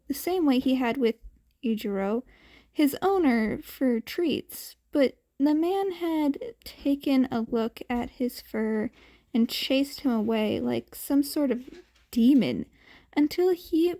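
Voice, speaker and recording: Slow, high-voiced woman reading a story. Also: warm tone. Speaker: narrator. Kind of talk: fan fiction audiobook narration.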